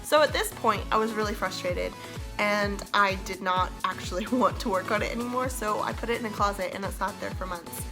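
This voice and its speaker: A woman's voice: mid-range voice, brisk, over music. A young woman with a congested voice from a cold, casually narrating a DIY tutorial as the host of her channel. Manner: chatty, self-deprecating, laughs between phrases.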